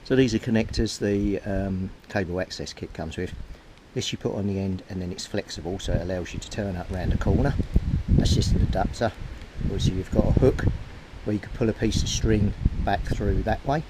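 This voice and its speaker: A man's voice: sits low, brisk. A man casually explaining a hands-on DIY job as he works, in an informal, matter-of-fact way. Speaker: DIY video maker. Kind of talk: casual hands-on demonstration, talking to camera while working. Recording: very noisy handheld recording with clicks, rustling.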